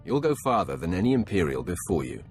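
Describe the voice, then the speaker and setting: Very deep, commanding Sith lord. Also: male voice, sing-song, a little mellow, soft background music. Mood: measured. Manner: authoritative, measured, formal. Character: commanding male Sith lord, stern, measured master. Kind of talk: dramatic video game voice acting.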